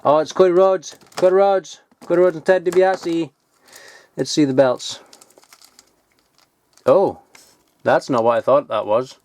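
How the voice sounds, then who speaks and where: Excitable young man rambling over toys. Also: a little high, unhurried, pauses often. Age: 20s. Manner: excitable, rambling, swears casually. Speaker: toy collector vlogger. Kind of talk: casual unboxing chat.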